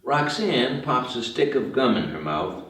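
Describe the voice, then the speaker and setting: A man's voice: mid-range voice, sing-song, measured pace, fairly bright tone. A man reading stage directions as the narrator of a screenplay table read. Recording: very echoey room, distant mic.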